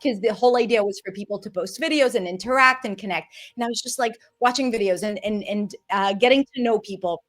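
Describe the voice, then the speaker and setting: A woman's voice: medium pitch, fast, hardly any pauses, soft background music. Israeli-accented woman telling her story. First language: Hebrew. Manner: enthusiastic, animated storytelling with restarts. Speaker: community founder, guest. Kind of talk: casual interview talk.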